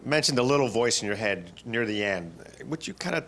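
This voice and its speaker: Loud, lively-pitched man asking questions. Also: brisk, a little hiss or hum. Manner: hesitant, rambling, searching for words; naturally loud voice. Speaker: audience member asking a question. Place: lecture hall audience. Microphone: handheld microphone passed into the audience.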